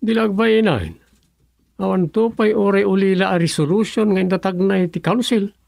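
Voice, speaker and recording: An actor in an Ilocano radio comedy drama playing a grumbling, sarcastic village councillor with a rough voice. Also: mid-range voice, normal speed, darkish tone, no echo, studio quality, natural voice. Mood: indignant.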